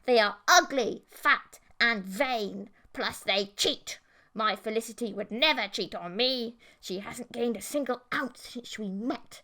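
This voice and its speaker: A woman's voice: fairly high pitch, unhurried, bright, forceful tone. Woman putting on a sneering, bitter internet forum man. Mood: ranting contempt. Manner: ranting, contemptuous. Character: bitter, sneering male forum poster obsessed with alien girlfriends. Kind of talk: audiobook character voice.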